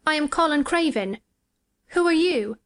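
A woman's voice: sits high, expressive, moderate pace, fairly bright tone, pitched above the performer's own voice. A woman voicing a frail, imperious young boy with a plaintive, self-pitying manner in a storybook reading. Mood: wary.

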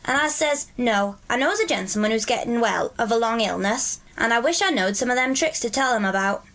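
A woman's voice: a little high, expressive, brisk, fairly bright tone, voice pushed up. A woman voicing a cheerful, friendly Yorkshire country boy who speaks in broad rustic dialect. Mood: earnest.

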